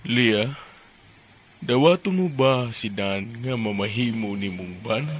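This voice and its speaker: A man playing a priest officiating a wedding in a radio drama, ceremonial and measured. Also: mid-range voice, animated, slight background noise. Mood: solemn. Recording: long hall-like church reverb.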